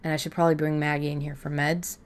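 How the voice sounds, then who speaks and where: A woman's voice: low-pitched, level tone, quick pace, clear, resonant tone. A woman co-hosting a casual, chatty conversation about writing fiction, talking in an informal, offhand way.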